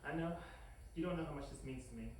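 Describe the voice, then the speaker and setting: An actor playing a wicked, insecure, power-hungry scientist in a comedic table read, halting and flustered or grandiose. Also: mid-range voice, darkish tone, natural voice. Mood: sheepish. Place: echoey room at a group table read. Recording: very echoey room, distant mic, noise.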